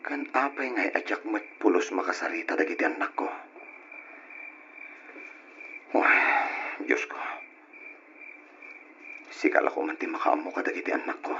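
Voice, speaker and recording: Weary husband, muffled hissy recording. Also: male voice, sits low, pushed and bright. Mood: sorrowful, hushed. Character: weary, devoted working husband and father. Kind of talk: old-style radio drama acting. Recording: muffled, hissy old radio drama recording.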